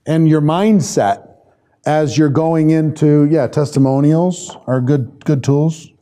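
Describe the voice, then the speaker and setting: Man, slightly high-pitched, soft mellow tone, informal online trainer, conversational, thinks aloud. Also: slow pace. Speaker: sales trainer leading a live online class. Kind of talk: informal live lesson, reading and answering viewer comments.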